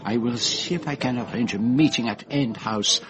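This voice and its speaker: A man plays a self-assured Belgian detective in a radio play, speaking formal English with a French-Belgian accent. He is precise, courteous and a touch pompous, in a mid-pitched voice over music. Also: normal speed, lightly acted. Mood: confiding. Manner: precise, formal, theatrical, teasing. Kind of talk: radio drama dialogue.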